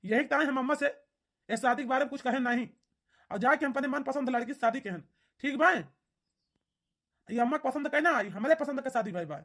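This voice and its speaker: A woman voicing an earnest, defiant young man in a cartoon, talking loudly and emphatically with an Awadhi accent. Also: sits high, fairly quick, pauses often. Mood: firm. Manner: loud and emphatic. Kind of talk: cartoon voice acting.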